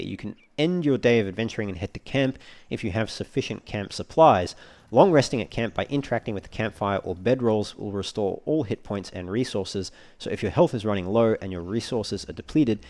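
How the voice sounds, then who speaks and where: Male let's player, low-pitched, talking casually through a game and reasoning aloud as he goes. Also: gravelly, darkish tone. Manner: thinks aloud while reading menus. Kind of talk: casual gameplay walkthrough commentary.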